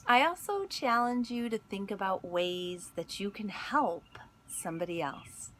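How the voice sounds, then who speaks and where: A woman reading a picture book aloud in an expressive storytelling manner, pausing to speak to young listeners. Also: medium pitch. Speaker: school instructional coach reading aloud.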